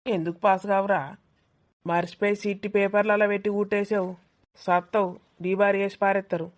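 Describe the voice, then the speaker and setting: A woman's voice: mid-range voice, little pitch movement, brisk, natural voice. Cartoon sidekick: boastful, mischievous male student who needles a friend in broad Telangana dialect woman performing. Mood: boastful, mocking. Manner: mocking, teasing, colloquial. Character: cheeky young male student, teasing, boastful exam cheat. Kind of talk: village comedy cartoon dialogue.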